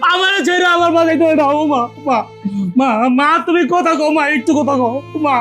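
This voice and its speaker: Devoted son played by a man, insistent, pleading, quick talk. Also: high-pitched, animated, a bit bright, soft background music. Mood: grieving. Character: young man back from abroad, devoted son.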